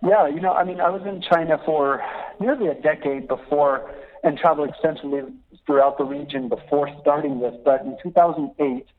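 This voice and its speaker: A middle-aged man speaks over a tinny, choppy phone line, his voice sitting a touch high for a man. He tells his stories with lively energy and breaks into laughs as he goes. His talk comes through thin and narrow, like a call on a weak connection. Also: a little fast. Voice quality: laughs often while talking. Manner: lively, animated storyteller. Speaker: missionary guest. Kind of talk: phone interview, storytelling. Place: calling in from abroad.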